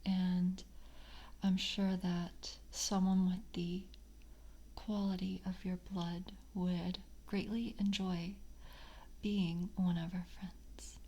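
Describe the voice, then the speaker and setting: A soft, whispery female vampire acted by a woman screens a newcomer in an ASMR roleplay. She speaks very slowly and formally in a mellow, breathy voice, coaxing and hesitant, with a polite but hungry, slyly predatory temper. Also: on the low side, little pitch movement.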